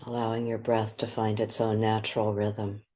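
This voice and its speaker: A meditation guide with a soft, breathy voice, speaking gently and unhurriedly in short phrases with long pauses. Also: medium pitch, completely flat delivery.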